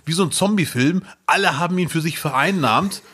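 A man co-hosting a podcast in casual chat, lively and jokey, swinging between loud outbursts and low mutters. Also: fairly quick, slightly rough. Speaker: podcast co-host. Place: near Duisburg.

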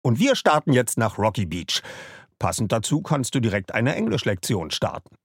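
A man's voice: mid-range voice, normal speed, slightly rough, no room sound, clean studio sound. A man giving a scripted, upbeat advertisement read. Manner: lively, salesy. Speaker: ad reader.

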